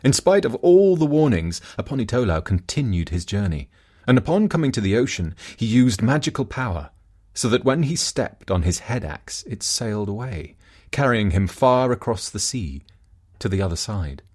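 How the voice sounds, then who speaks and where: A male narrator reads a folk tale from a script. His voice sits fairly low and swings widely in pitch, giving the storytelling a very animated shape. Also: normal speed. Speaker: audiobook narrator.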